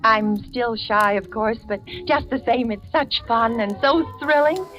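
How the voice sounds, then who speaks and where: A woman's voice: a little high, a little slow, fairly bright tone. An actress playing a shy, romantic spinster in her forties, breathless and girlish, in a 1940s radio suspense drama. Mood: excited, dreamy. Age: 40s. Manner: breathless, girlish, fluttery, hesitant. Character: shy, gushing, romantic spinster of forty writing her diary. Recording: hissy old radio recording with music.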